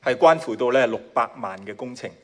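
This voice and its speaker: Hong Kong-accented male preacher, native Cantonese speaker, modest and giggly, pauses often for his interpreter. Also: medium pitch, animated, fairly bright tone. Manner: modest, with shy laughs between phrases. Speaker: guest preacher. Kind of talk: sermon delivered in short chunks for an interpreter.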